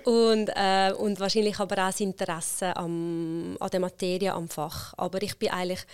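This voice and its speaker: A woman's voice: lowish voice, level tone, normal speed, clear, resonant tone. A middle-aged woman with a Swiss German accent, chatting casually as an interview guest, with many fillers and frequent laughter. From Switzerland.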